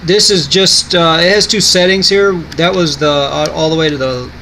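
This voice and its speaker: A man's voice: a little high, fairly even intonation, moderate pace, sharp tone, slight background noise, low-quality recording. A man giving a casual product demonstration in an offhand, rambling way.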